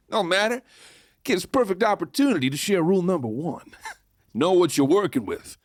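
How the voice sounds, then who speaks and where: Cocky Wild West outlaw with lively swings, acted out. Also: medium pitch, dry recording, studio quality, close to an everyday voice. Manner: showy, folksy, playful swagger. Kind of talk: theatrical first-person storytelling, audio drama.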